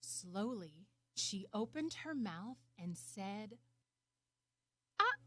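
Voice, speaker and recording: A woman reads a children's story aloud. Her voice is fairly high and her delivery is very slow, deliberate and expressive. The recording is dry. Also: no echo. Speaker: storybook reader. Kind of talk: scripted children's story narration.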